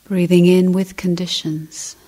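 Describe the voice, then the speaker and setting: Very slow, hushed woman guiding meditation. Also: lowish voice, level tone, poor audio. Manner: unhurried, measured, in short phrases. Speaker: meditation teacher. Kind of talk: guided meditation, slow contemplative speech with long pauses.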